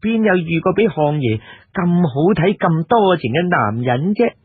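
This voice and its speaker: A man's voice: a little high, sing-song, moderate pace, slightly rough, dry recording, voice pushed up, full character voice. A man voicing a fawning, coquettish middle-aged brothel madam in a storytelling recording, gushing and wheedling. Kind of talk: Cantonese audiobook storytelling. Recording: lo-fi, narrow phone-like radio sound.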